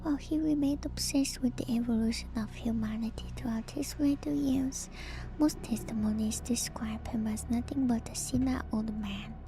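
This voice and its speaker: A woman whispering in an ASMR style as a streamer, reading aloud and musing on it in a hushed, hesitant way. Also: on the high side, steady tone, moderate pace, poor audio. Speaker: streamer reading and discussing game lore. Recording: music behind the whispering voice. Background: music.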